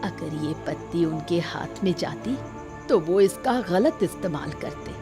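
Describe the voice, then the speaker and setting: A woman plays a wise old mother from a village cartoon tale. She explains patiently in a lowish, very slow voice, with prominent music underneath. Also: lively intonation. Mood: calm, revealing. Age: older. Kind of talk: scripted cartoon story acting.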